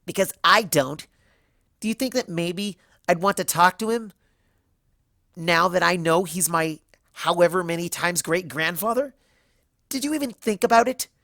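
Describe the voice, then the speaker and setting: Storybook unicorn student, performed by a narrator: young female, raised pitch, cutting, accusing, righteous and sarcastic. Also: moderate pace, no echo, clean studio sound, natural voice. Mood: shouting, indignant. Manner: sharp, cutting, rhetorical questioning.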